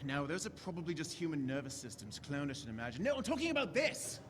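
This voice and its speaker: A man playing an eccentric, inquisitive time-traveling doctor in a staged audio drama, chatty and brisk with theatrical swings. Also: a little high, very animated. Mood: brisk, curious. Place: large convention hall stage. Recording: echoey hall stage recording with noise.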